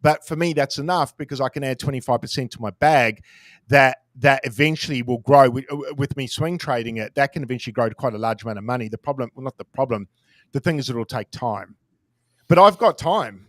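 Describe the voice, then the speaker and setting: A forty-year-old man in relaxed podcast conversation, candid and self-deprecating with casual swearing. His voice sits at a middle pitch and sounds close and dry, with little room around it. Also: fairly quick, no room sound. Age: 40s. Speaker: crypto trader guest.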